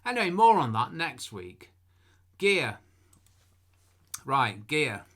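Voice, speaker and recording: A man's voice: medium pitch, sing-song, takes it slowly, a bit bright. A middle-aged man, teaching photography in casual talk to camera, chatty and self-deprecating, full of asides and restarts. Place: room at home.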